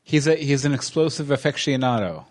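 A man's voice: medium pitch, animated, brisk. A man co-hosting a casual episode commentary, tossing out wry, joking asides.

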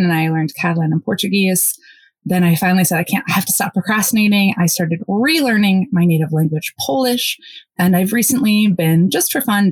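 A woman from the United States, a podcast guest, telling her story quickly and excitedly. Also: mid-range voice, no room sound. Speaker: interview guest. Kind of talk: casual podcast interview.

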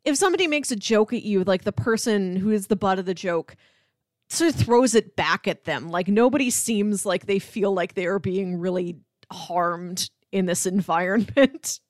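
A woman's voice: medium pitch, moderate pace, dry recording, studio quality. A woman chatting casually and at length as a podcast co-host about films, peppering her talk with "like". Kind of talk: casual film discussion chat.

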